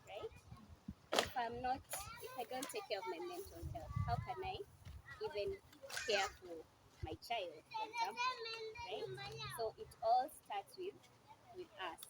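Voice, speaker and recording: A Kenyan woman in her late twenties speaks to a group in an encouraging, motivational way. Her voice is lifted and very lively in its intonation. Clear noise runs behind her in the live recording. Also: sits high, unhurried, pauses often. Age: late 20s. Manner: encouraging, addresses listeners directly. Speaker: speaker at a women's talk session.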